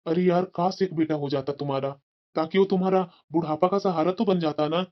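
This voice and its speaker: A man's voice: on the high side, fairly even intonation, a little fast, lightly acted. A man voicing a meddling, callous friend who persuades insistently and familiarly in a cartoon moral drama, on a muffled recording. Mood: mock concern. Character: meddling, pushy male friend giving callous advice. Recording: dull, muffled lo-fi sound.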